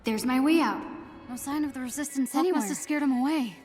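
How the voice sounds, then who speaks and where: A woman acting a plucky, nervous teenage girl superhero fan in a video game, excitable and prone to blurting things out. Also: high-pitched, fast, lightly acted. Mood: nervous muttering. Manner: excitable, blurts out and mutters to herself. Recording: game soundtrack music under voice.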